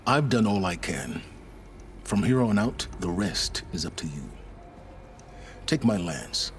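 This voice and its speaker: An actor playing an enigmatic, calculating scholar who speaks in a measured, wry manner with deliberate pauses. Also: male voice, deep voice, sing-song, a little hiss or hum, exaggerated voice. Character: enigmatic scholarly male outcast, calm and calculating.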